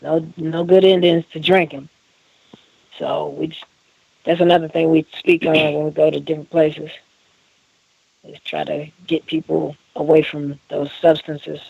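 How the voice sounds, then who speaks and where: Higher-voiced man talking casually and earnestly. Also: flat delivery, measured pace, gravelly, a little mellow, breathy edge, no room sound, dull audio. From Oklahoma, United States. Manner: earnest, informal, slangy. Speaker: rapper, interview guest. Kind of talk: casual interview talk.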